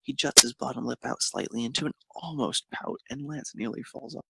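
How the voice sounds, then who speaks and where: A man with a creaky, husky voice narrating a romantic story expressively. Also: mid-range voice, a little fast, heavy background noise.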